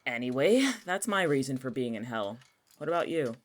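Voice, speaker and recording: Casting: male actor for jobless young cynic, superstitious and exasperated, quick to argue, in natural audio-fiction dialogue. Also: medium pitch, animated, close to an everyday voice. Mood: exasperated, teasing. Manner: sardonic, exasperated, argumentative.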